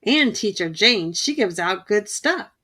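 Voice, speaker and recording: A woman's voice: mid-range voice, animated, a little slow, sharp tone. A woman voicing a curious little girl bear cub in a children's storybook. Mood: eager.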